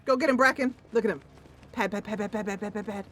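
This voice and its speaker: A woman's voice: medium pitch, fast talker, fairly bright tone, a little hiss or hum. A woman playing a fantasy roleplaying game, thinking aloud with enthusiasm and narrating the story dramatically. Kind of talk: gameplay commentary mixed with dramatic narration.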